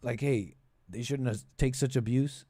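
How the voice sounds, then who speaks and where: Guy hosting a talk podcast, mid pitched voice, rambles and repeats himself, dry sound. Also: mellow, slightly rough, no echo. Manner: halting, repetitive, meandering. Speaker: podcast and call-in show host. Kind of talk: rambling casual political commentary.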